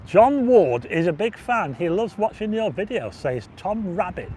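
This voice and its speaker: A chatty man talking casually on a livestream, his voice a touch high and going hoarse, with frequent throat clearing. He speaks outdoors on a windy seafront with faint traffic behind him, picked up on a handheld mic. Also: big pitch swings, measured pace, warm tone. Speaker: livestream host. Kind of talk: casual walking livestream chat.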